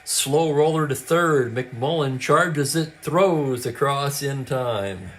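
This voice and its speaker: A man calling a tabletop baseball replay as play-by-play, with brisk calls and stat asides, and a voice that is getting hoarse. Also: medium pitch, a little hiss or hum. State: losing his voice, clearing his throat. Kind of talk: play-by-play commentary.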